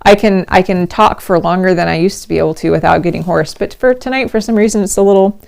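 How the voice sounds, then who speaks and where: Slightly hoarse woman chatting casually. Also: lowish voice, quick pace, a little mellow. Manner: chatty, rambling, laughs often. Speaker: host of a knitting livestream. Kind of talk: casual livestream chat.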